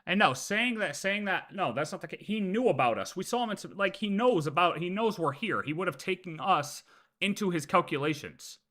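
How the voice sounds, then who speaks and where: Male streamer, lively swooping pitch on the high side, casual and argumentative. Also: brisk. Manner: argumentative, repeats points, swears. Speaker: game streamer. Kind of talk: livestream reaction, casual chat.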